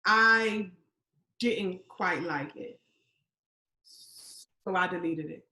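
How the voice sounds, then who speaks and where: A woman acting a guarded, firm character in a live staged play reading, with deliberate, emphatic phrasing over a video call. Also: fairly low pitch, pushed and bright, echoey room, full character voice, low-quality recording. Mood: firm, defiant. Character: guarded, firm woman confronting an acquaintance over racial politics. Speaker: actor in a virtual staged reading. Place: indoor room, streamed over video webinar.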